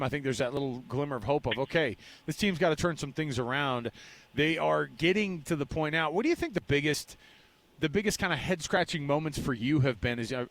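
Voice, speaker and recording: An American man hosting live sports talk radio in a casual, conversational way with rambling asides. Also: fairly high pitch. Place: live remote broadcast from a ballpark event.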